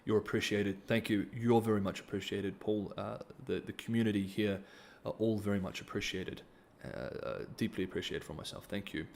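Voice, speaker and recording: A man's voice: on the low side, creaky, darkish tone. A man, hosting a casual livestream Q&A and reading donor comments, hesitant, with many ums and restarts. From New Zealand. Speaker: livestream host.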